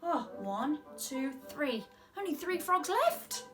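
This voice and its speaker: Young british lady doing kids story time, high pitched, takes her time, sing-songy and animated. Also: takes it slowly, over music. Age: 20s. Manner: animated, sing-song, exaggerated expression for young children. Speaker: children's entertainer and storyteller. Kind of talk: children's story time, song and read-aloud. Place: small room at home, indoors.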